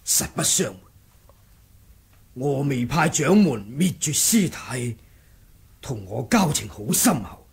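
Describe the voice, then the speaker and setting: Husky, cunning warrior monk. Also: male voice, medium pitch, very animated, heavily acted. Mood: earnest bargaining. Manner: deliberate, sly bargaining. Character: cunning martial monk who feigned muteness, scheming and composed. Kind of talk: Cantonese 1980s radio drama acting.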